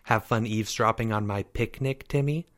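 A man's voice: lowish voice, normal speed, natural voice. A man voicing a sly, scornful schoolgirl in a storybook, cool and mocking. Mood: smug.

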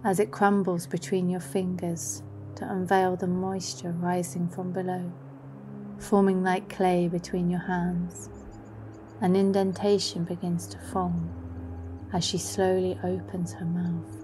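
A woman narrating a scripted guided meditation in a deliberate storytelling manner. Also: lowish voice, flat delivery, dark tone, slight background noise. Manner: slow, deliberate storytelling, at times dropping into whispers. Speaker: guide of a meditative sound journey. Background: music.

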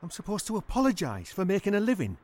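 An actor playing a defiant washed-up middle-aged boxer held hostage in an audio drama, blunt and combative, with a British accent. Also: male voice, high voice, wildly swooping pitch, fast, darkish tone. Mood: resentful.

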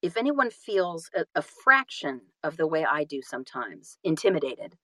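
A woman's voice: low voice, sing-song, moderate pace, fairly bright tone, no echo. A middle-aged woman chatting casually on a podcast, self-deprecating, often restarting and repeating her phrases. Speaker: audiobook narrator and guest.

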